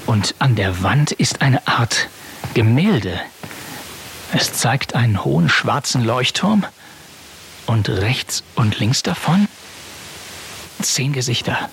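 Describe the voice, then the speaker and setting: A man's voice: medium pitch, expressive, slow pace, gravelly, pauses often, a bit bright, exaggerated voice. An actor playing a brash, overconfident boy who leads his friends in a children's audio play, heard from a worn cassette. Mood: describing. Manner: brash, overconfident, prim when polite. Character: bossy, reckless boy leader of the gang. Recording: hissy old cassette.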